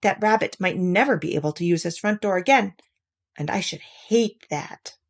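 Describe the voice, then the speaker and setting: A woman's voice: on the low side, normal speed. A woman voicing an anxious, slightly huffy storybook bear in a plaintive, hesitant way. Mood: sniffling.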